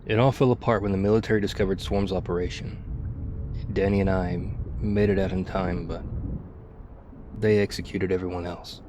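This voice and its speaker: A man playing a battle-worn, wistful ex-soldier survivor of a zombie apocalypse, confiding haltingly and reflectively in an intimate ASMR roleplay. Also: low voice, steady tone, background noise, close to an everyday voice. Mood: regretful, sad.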